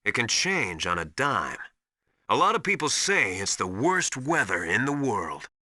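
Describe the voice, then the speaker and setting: A stern veteran military instructor from a video game, with a hard, very sharp and forceful voice. His delivery is authoritative and deliberate, swinging widely in pitch to hammer home each piece of advice. The sound is dry and close. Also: sing-song, no echo, heavily acted. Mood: firm, instructive. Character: stern veteran soldier mentor, tough drill-master advising an operative.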